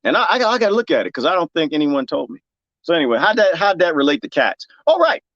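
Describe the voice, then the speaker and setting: Lively joking man with a Texas accent. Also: on the high side, quick pace, no room sound, highs cut off. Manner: animated and joking, laughs often, talks straight to his chat. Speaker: livestream host reading viewer comments. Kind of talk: casual chat with viewers. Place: garden, planting cuttings.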